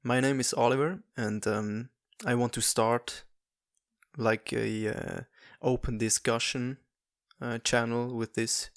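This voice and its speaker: A man's voice: medium pitch, fairly even intonation, dry recording, studio quality. A young man from Switzerland, speaking English as a second language, talks through his podcast alone and stops often for fillers and restarts. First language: not English. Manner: halting, many fillers and restarts. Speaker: podcast host. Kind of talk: casual solo monologue.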